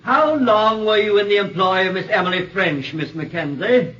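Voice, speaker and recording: An actor playing a formal, probing prosecuting counsel with declamatory questioning in a 1940s radio drama. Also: male voice, high voice, moderate pace, sharp tone. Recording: distant, dull 1940s radio recording.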